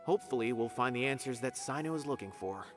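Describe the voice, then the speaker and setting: A man's voice: mid-range voice, lively intonation, quick pace, faint music behind, close to an everyday voice. An actor voicing an earnest young male scholar in a video game, explaining matters in a friendly, careful way.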